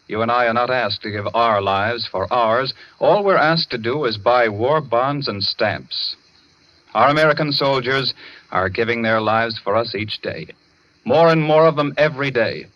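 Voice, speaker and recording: A man delivering an earnest, emphatic 1940s radio war appeal in a deliberate, persuasive style. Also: mid-range voice. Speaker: wartime appeal announcer. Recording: dull vintage radio recording.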